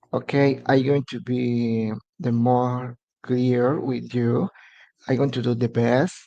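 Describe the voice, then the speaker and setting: Mexican man, native Spanish speaker, slow and halting English, muffled live-stream audio. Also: medium pitch, warm tone. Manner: hesitant, halting English with many fillers. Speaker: immigration advisor.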